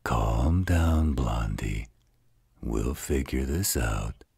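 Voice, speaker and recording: A man playing a gruff, protective demon father in a fantasy audio roleplay, with a gravelly voice and a reassuring drawl. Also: lively intonation, very slow, darkish tone, dry recording, clean studio sound, heavily acted. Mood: steady, probing. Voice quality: very deep, gravelly husky voice.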